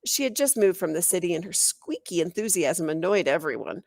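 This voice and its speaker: A woman reading a children's novel aloud as an expressive storyteller, in the manner of a teacher. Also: fairly low pitch, fairly quick, dry recording, clean studio sound. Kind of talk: children's audiobook narration.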